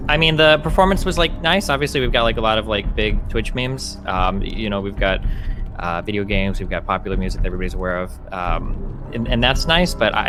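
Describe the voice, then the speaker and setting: A man with a fairly low voice gives a blunt judge's critique, stopping often with ums and likes. Music plays under his voice. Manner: blunt, hesitant, many fillers. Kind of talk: candid critique.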